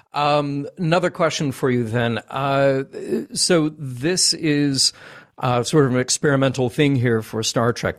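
A man hosting a casual panel chat, talking in an animated, joking way. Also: mid-range voice. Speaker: podcast host.

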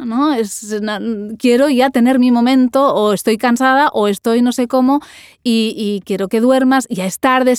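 A woman's voice: fairly high pitch, smooth, no echo, studio quality. A woman hosting a podcast interview, engaged and asking follow-up questions.

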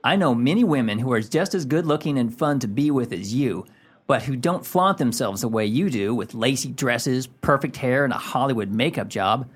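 A man's voice: medium pitch, animated, normal speed, breathy edge. An actor playing a surly, domineering man in a radio comedy, blunt and emphatic in his delivery. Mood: scornful, domineering. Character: surly, domineering man scolding the woman who adores him. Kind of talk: radio comedy play acting.

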